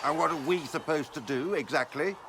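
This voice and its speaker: Man voicing an indignant, high-pitched complaining mage. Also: fairly quick, noisy background, natural voice. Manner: querulous, demanding. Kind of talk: video game dialogue.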